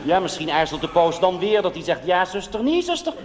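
A man's voice: sits high, very animated, fairly quick, long reverb tail, over a noisy crowd, heavy background noise. A man playing a chatty, naive, gushing modern nun in a cabaret monologue, confiding earnestly with frequent exclamations.

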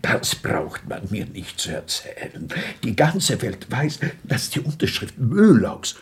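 An actor playing a small, shabby, timid currency dealer in a radio play, husky and plaintive, with a world-weary storytelling manner. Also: male voice, medium pitch, big pitch swings, slow pace, full character voice.

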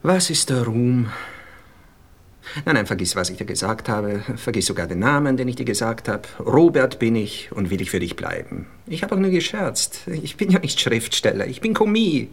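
Pompous Austrian poet-seducer, wildly swooping delivery. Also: male voice, mid-range voice, sing-song, moderate pace, husky. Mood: sentimental. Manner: pompous, rapturous, theatrical. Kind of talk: radio play acting.

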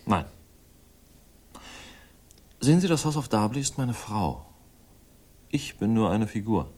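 A cynical gentleman villain in a sixties crime radio play. His low voice is measured and formal, with the self-mocking resignation of a guilty man who married for money. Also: sing-song, slightly rough, full character voice.

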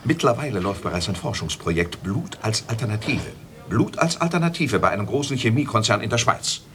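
A man's voice: lowish voice, expressive, fairly quick, a bit bright. An actor playing an obsessive old eccentric who witnesses accidents, repeating his phrases theatrically in a German radio play. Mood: eerily earnest. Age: older. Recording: faintly noisy old cassette radio recording.

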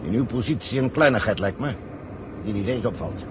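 An actor playing a sly, smug conspirator who calmly gives orders in a vintage radio play. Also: male voice, on the low side, a little fast, muffled recording, noisy background, lightly acted. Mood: commanding, smooth. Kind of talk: 1970 radio play acting.

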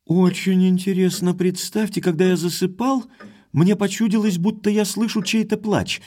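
An actor playing a cheerful, frank young aristocratic heir in an audio drama. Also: male voice, high-pitched, little pitch movement, moderate pace, darkish tone. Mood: intrigued.

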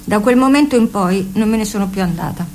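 A woman reading a first-person memoir calmly and earnestly for radio. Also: medium pitch, brisk. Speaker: reader.

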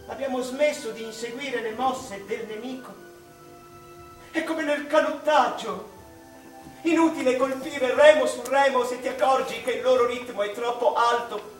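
A wistful, anguished mathematician speaks in a high voice, as if to his mother, in a radio drama. The delivery is slow, halting and theatrical. It sounds distant in an echoing space, with music behind. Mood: intense, urgent. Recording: echoey room, distant microphone, music behind.

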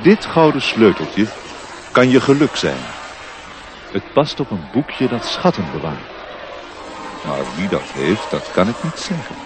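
A man's voice: on the low side, sing-song, unhurried, long pauses. An actor playing a benevolent, stately elf king in a solemn, ceremonious radio play manner. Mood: regal warmth. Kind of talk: Dutch radio play acting. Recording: noisy old radio play recording.

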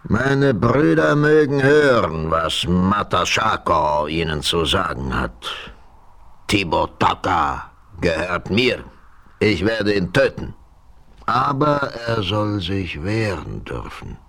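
A proud chief in an old radio drama. He accuses and declares in a very deep voice. He speaks slowly and gravely. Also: very animated, fairly bright tone, exaggerated voice. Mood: solemn. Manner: solemn, accusing. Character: proud, vengeful Native chief, man. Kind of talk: 1970s radio play acting.

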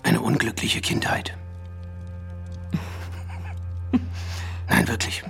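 An actor playing a courtly, intense film distributor in a radio drama, speaking in a hushed, breathy, savoring way. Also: male voice, unhurried, soft background music, natural voice. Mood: confiding, intense. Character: courtly, intense male film distributor, passionate cinephile wooing actress.

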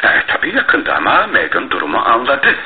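Brief: shrewd, theatrical radio-play sleuth, highly animated delivery, thin phone-like old broadcast sound. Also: male voice, normal speed. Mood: pleased, sly. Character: shrewd, self-assured male detective, theatrical and teasing. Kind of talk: Turkish radio theatre acting. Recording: tinny, band-limited old radio broadcast, faint noise.

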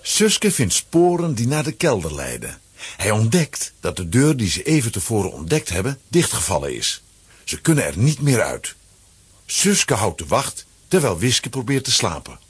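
A man with a Flemish accent and a husky voice, narrating a children's adventure as a scripted audio drama. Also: lowish voice, wildly swooping pitch, normal speed, dry recording, full character voice. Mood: suspenseful.

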